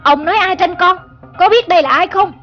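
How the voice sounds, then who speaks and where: A woman voicing a hot-tempered, pushy man in a cartoon, insistent and quick to take offence. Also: extremely high pitch, a bit bright, lo-fi sound, faint music behind. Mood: indignant. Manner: indignant, insistent. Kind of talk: cartoon dubbing.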